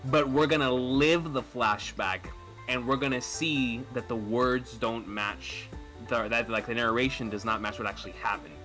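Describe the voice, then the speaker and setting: Bright, forceful man chatting eagerly. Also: mid-range voice, fairly even intonation, normal speed, faint music behind. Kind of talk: casual podcast chat.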